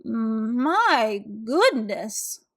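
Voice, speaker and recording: A woman voicing a kind, sweet old woman in a children's storybook, with drawn-out, deliberate phrasing. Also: on the high side, very animated, exaggerated voice. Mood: concerned. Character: kind, plump, sweet old woman, gently cheerful helper.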